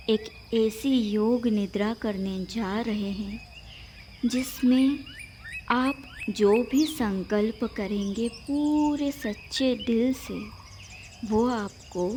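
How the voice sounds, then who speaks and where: A woman leading a guided yoga nidra meditation, giving measured instructions in short phrases with long pauses. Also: on the high side, a little mellow, slight background noise.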